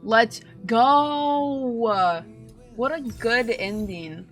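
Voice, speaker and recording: A young woman streamer giving casual, slangy, joking reaction commentary on a game in an excitable way. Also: on the high side, very slow, soft background music.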